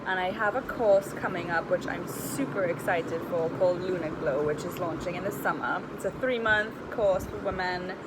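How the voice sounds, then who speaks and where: A woman's voice: on the low side, moderate pace, hardly any pauses. Chatty Trinidadian woman, noisy background. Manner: chatty, candid, informal slang. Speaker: health and weight-loss coach vlogger. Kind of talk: casual personal vlog.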